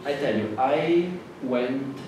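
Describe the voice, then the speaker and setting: A man with an Italian accent, a native Italian speaker, giving a classroom lecture in a halting way with many fillers and restarts. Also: mid-range voice, lively intonation. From Italy. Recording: echoey hall from far off with noise.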